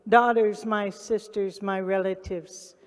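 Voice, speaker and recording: A woman with an Oklahoma accent giving a solemn speech on stage at a live event, pausing for an interpreter. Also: medium pitch, fairly even intonation. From Oklahoma, USA. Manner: solemn, reverent. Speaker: guest speaker. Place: large event hall on stage. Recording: long reverb of a large hall.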